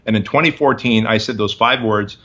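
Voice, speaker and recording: A man's voice: fairly low pitch, brisk, pushed and bright, muffled recording. A man in his forties, a guest in an interview, telling his story in emphatic, punchy short phrases. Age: 40s.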